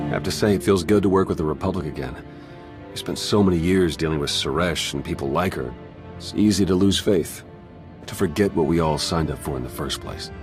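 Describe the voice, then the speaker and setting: An actor playing a reflective, loyal soldier comrade in a video game, easygoing and sincere. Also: male voice, deep voice, natural voice.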